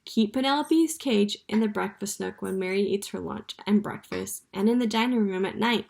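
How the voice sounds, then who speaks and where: Woman reader as a calm, confident storybook advice-giver. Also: mid-range voice, moderate pace, gravelly, lightly acted. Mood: reassuring. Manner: soothing and sure.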